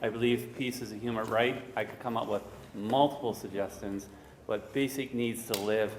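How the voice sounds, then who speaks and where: Quick Canadian man in an echoey hall. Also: lowish voice, fairly even intonation. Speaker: audience member. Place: lecture hall with audience. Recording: echoey hall, faint noise.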